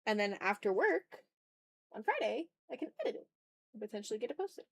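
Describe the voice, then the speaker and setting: Casual higher-voiced woman streamer, dry close sound. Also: very animated, brisk, gravelly, husky, dry recording. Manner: informal, joking, mildly sweary. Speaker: livestream host, illustrator. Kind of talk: casual livestream chat while drawing, replying to chat.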